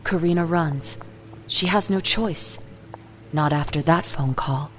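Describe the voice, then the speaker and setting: A woman's voice: low voice, takes it slowly. A woman reading scripted, dramatic fiction narration in the present tense, with music behind her. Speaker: story narrator.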